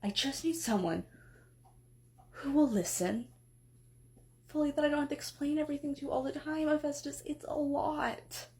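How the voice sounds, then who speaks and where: A woman voicing an exasperated goddess who scolds her husband, swinging between sharp outbursts and weary pleading. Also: high voice, moderate pace, long pauses. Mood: frustrated. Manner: scolding, then pleading and tearful. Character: exasperated, emotional goddess wife.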